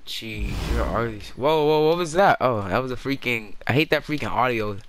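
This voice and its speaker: Excitable young man gaming, bright voice. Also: medium pitch, normal speed. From Jamaica. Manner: loud and excitable during fights, mumbly between. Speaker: gamer. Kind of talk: casual gaming chat.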